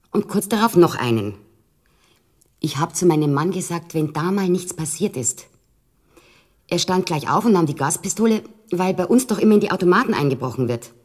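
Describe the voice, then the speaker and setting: Plain-spoken, low-voiced mother. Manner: matter-of-fact, colloquial, curt. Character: plain-spoken, disapproving middle-aged mother, eyewitness. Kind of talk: German radio crime drama.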